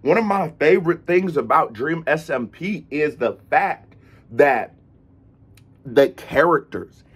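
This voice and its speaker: A young American man giving casual reaction commentary as a host, in an animated, slangy, repetitive way with a husky voice. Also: fairly high pitch, takes it slowly. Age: young adult. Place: indoors, room with unfinished basement ceiling.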